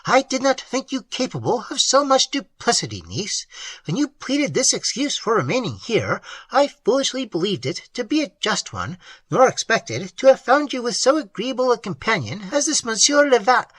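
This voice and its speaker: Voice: mid-range voice, sing-song, normal speed, fairly bright tone, husky, no echo, pitched above the performer's own voice. A reader voicing a haughty, scolding middle-aged aunt in an audiobook, with a self-important, sneering manner. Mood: ironic. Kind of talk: audiobook character voice.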